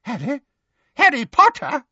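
A man's voice: very high voice, huge pitch swings, unhurried, sharp tone, dry recording, muffled recording, pitched above the performer's own voice, full character voice. A man voicing a flustered older government minister in an audiobook, stammering, blustering and gibbering with panic. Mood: astonished.

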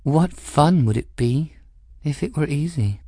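A man's voice: fairly high pitch, animated, measured pace, mellow, slightly rough, dry recording, studio quality, voice pushed up, full character voice. A man voicing a poised, scheming aristocratic woman who speaks with cool, cutting mockery. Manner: poised, cutting, lightly mocking. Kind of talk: audiobook character voice.

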